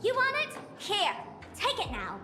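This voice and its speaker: High, cheeky young trickster. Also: female voice, huge pitch swings, measured pace, exaggerated voice. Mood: cheeky, teasing. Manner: playful, nonchalant, taunting. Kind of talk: animated video game acting. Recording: echoey, reverberant, over music.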